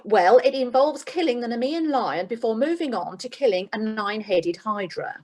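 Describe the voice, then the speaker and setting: A woman's voice: a little high, lively intonation, moderate pace, darkish tone. A woman playing a businesslike, slightly weary job agent in a comic radio play. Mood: dry. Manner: businesslike, dry, faintly exasperated. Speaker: employment agent.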